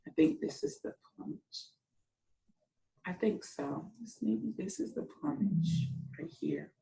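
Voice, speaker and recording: Slow-paced woman teacher in an echoey room. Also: medium pitch, big pitch swings, gravelly, dark tone. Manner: encouraging, explains words simply, addressing listeners as friends. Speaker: children's read-aloud host and teacher. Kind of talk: storytime reading and chatting to children.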